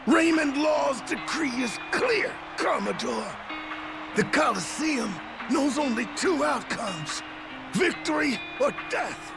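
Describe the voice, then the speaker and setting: An actor playing a furious, imperious official in a video game, declaiming slowly and sternly over music. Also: male voice, very high-pitched, expressive, exaggerated voice. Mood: furious, condemning. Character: furious, imperious official condemning a disgraced commodore. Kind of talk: dramatic video game acting. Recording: loud music bed behind voice.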